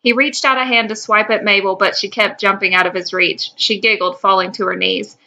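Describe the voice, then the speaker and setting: A woman's voice: mid-range voice, fairly even intonation, fairly quick, gravelly, bright, forceful tone, rough recording. A woman speaking as a podcast co-host who chats and reads her own fan fiction. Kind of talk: casual chat and reading own fan fiction aloud.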